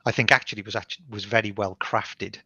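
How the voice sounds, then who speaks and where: A Welsh man from South Wales with a bright, forceful tone and a lowish voice. He talks things through reflectively, circling back with ums and restarts. His voice comes through dry and a little muffled, like an online call. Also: fairly even intonation, fairly quick, husky, no echo. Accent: Welsh, from Barry in South Wales. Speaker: author being interviewed. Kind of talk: thoughtful conversational explanation.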